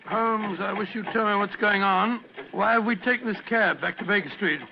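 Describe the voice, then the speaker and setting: An actor playing a bewildered, loyal English gentleman sidekick in a radio mystery, with a creaky British voice and puzzled, exclamatory delivery. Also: high-pitched, moderate pace. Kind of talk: old-time radio mystery acting. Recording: dull, noisy old radio recording.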